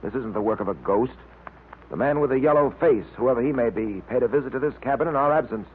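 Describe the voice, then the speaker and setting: An actor playing a cool-headed, commanding detective in 1940s radio style, brisk and confident as he explains things. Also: mid-range voice, natural voice. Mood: firm, impatient. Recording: tinny, faintly noisy vintage radio recording.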